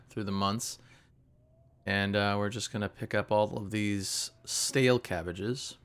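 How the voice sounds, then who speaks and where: A man with a nasal, fairly low voice talks through a game stream in a relaxed, rambling way, full of fillers. He sounds sleepy, as if he just woke up. Soft guitar music plays faintly behind him. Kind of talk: casual live-stream gameplay commentary.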